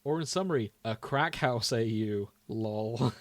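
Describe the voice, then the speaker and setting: A man's voice: medium pitch, measured pace, no echo. A man co-hosting a podcast in casual chat, with a jokey, giggly manner. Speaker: podcast co-host.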